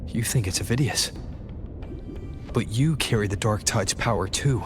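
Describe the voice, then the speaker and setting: Fairly low male voice, suspicious young warrior played by an actor, stern probing game dialogue, music behind. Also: moderate pace, close to an everyday voice. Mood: wary, questioning. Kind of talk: scripted video game voice acting.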